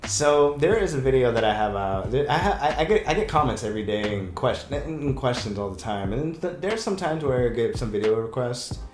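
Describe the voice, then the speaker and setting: A man, a breakup coach, talking casually on a podcast, telling stories with lots of restarts and quick laughs. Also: medium pitch, echoey room, a little hiss or hum. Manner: animated storyteller, laughs often, rambling restarts.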